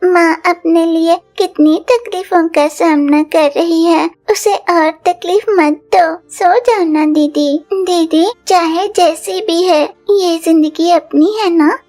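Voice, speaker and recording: A woman voicing the youngest bird daughter in a cartoon, gently reasoning with her older sister. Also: very high voice, steady tone, slow, few pauses, soft background music. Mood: gentle.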